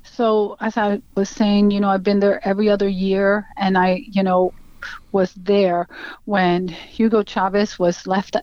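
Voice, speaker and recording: A woman's voice: medium pitch, level tone, unhurried, darkish tone, clear, resonant tone, dry recording. A middle-aged woman from Venezuela with a Venezuelan Spanish accent, telling her story earnestly as an interview guest, with many fillers and acted-out quotes. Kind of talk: personal account in an interview. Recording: dull, dry lo-fi sound.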